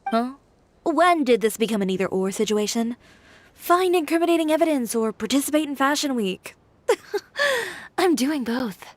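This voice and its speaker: A woman's voice: high-pitched, lively intonation, normal speed, no echo. A woman voicing a confident, stubborn young fashion designer who talks in a cool, wry, self-assured way. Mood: defiant. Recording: game audio over soft music.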